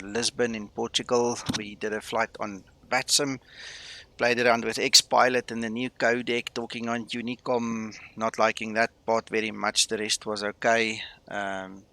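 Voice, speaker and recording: Gravelly South African man, casual streamer. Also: fairly low pitch, bright, forceful tone. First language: Afrikaans. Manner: chatty, greets viewers, many fillers. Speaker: flight sim streamer. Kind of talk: casual live-stream chat.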